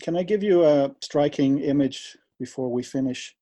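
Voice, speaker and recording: A man from Denmark with a Danish accent, speaking English as a second language in an interview, measured and laying out his argument step by step with numbers. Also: on the high side, mellow, no echo. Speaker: interviewee, wildlife advocate. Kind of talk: earnest explanatory interview talk.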